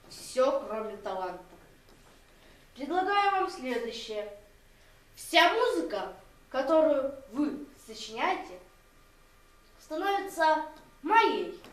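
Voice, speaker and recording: A woman voicing a sinister, wealthy male maestro, cold and covetous, speaking deliberately and imperiously in a theatrical fairy-tale. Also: high voice. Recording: roomy echo, distant from mic.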